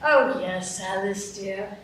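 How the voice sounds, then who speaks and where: A woman's voice: medium pitch, slow, a bit bright, slight background noise. A woman voicing a tender, grateful mother in a live radio play. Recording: echoey stage, distant mic.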